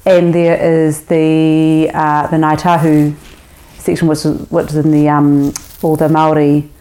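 A woman hosting an interview, chatty and encouraging, filling pauses with fillers and murmurs of agreement. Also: low voice, monotone, mellow. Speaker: interviewer, host. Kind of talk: casual interview chat.

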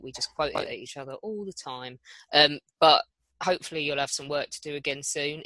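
A woman's voice: sits low, pushed and bright, no room sound. A woman with a British accent hosting a casual panel chat in an irreverent, sweary, teasing manner. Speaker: podcast host.

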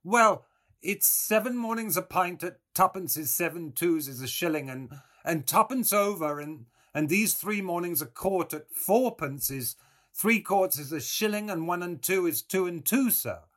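Man putting on a humble old Irish milkwoman, pitched high. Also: animated, a bit bright. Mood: halting, polite. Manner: deferential, halting.

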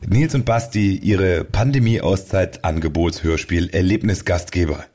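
A man's voice: sits low, a little slow, darkish tone, slightly rough. A man hosting a casual comedic podcast, telling things in a playful, digressive way. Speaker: podcast host.

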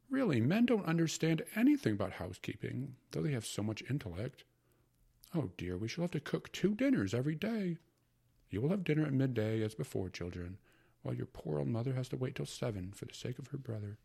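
A man's voice: medium pitch, creaky, dark tone, breathy edge, voice pushed up, heavily acted. A man voicing a fretful middle-aged mother who fusses and sighs over household troubles. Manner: fussing, sighing, emphatic.

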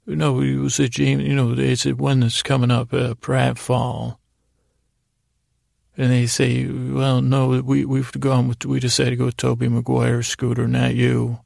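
A middle-aged man with a gravelly, creaky voice hosting a sleep podcast, rambling in a halting, silly bedtime monologue that repeats and trails off mid-thought, close on the microphone. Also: mid-range voice, monotone, darkish tone, no room sound. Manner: silly, absurd asides.

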